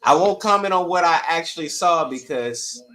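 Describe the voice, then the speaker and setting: A man's voice: fairly high pitch, animated, moderate pace, sharp tone. A man co-hosting a sports podcast, chatting casually in slangy, emphatic bursts.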